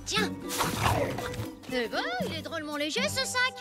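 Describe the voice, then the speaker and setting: A woman voicing an excitable, tender-hearted little child in a cartoon, with an exclamatory, childish way of talking. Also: high-pitched, a little slow. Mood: curious. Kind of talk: French animated cartoon acting. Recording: music behind the voice.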